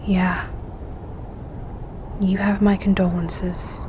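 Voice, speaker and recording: An actress playing a steady female sergeant in a radio drama, speaking calmly and often hushed. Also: mid-range voice, noisy background. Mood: grim, hushed. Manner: calm, hushed, measured.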